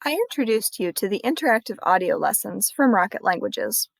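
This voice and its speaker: A woman librarian walking viewers through a screen-recorded tutorial in a clear, friendly explaining manner. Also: mid-range voice, expressive, fairly quick, dry recording.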